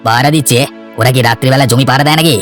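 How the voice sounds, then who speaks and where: A man's voice: mid-range voice, very fast, a bit bright, faint music behind, close to an everyday voice. A man voicing a menacing, bullying village schemer in a cartoon story, domineering and threatening. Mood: demanding.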